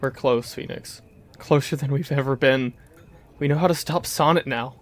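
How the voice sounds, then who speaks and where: A young man playing a warrior who is grieving his father, speaking in a steady, quietly reassuring way. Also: mid-range voice, normal speed, natural voice. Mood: somber.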